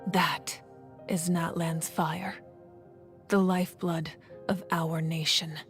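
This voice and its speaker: An actress playing a proud leader of a warrior nation, narrating in a solemn, measured, dramatic way for a game. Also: female voice, lowish voice, flat delivery, soft background music. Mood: solemn, stirring.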